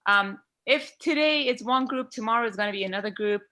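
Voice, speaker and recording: Woman from Iran, podcast panelist, bright tone, heartfelt rambling talker on a video call. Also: on the high side, brisk. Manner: heartfelt, rambling with many fillers. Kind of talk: earnest discussion on a video call.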